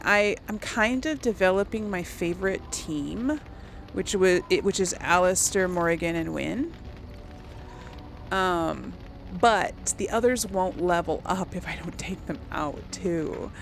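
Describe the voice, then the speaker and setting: Female let's play host, creaky voice, casual thinking-aloud delivery, faint noise behind. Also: mid-range voice, unhurried. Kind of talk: casual gameplay commentary, talking to viewers.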